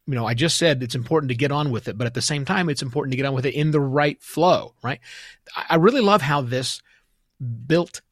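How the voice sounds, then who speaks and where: A man's voice: medium pitch, fairly quick, no echo, clean studio sound. A man hosting a podcast interview in a casual, conversational and enthusiastic way. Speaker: podcast host interviewing a guest.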